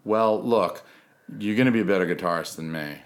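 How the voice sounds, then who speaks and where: A man's voice: low voice, brisk. A young man hosting a casual comedy podcast, rambling and mock-earnest as he jokes with his guest. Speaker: podcast host.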